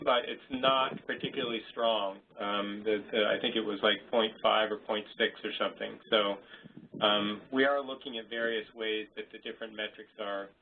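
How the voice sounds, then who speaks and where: Man answering over a tinny phone line. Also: on the low side. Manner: hesitant, many restarts and fillers. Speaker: webinar presenter. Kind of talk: unscripted Q&A answer. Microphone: conference call line. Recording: tinny phone-line audio, faint hiss.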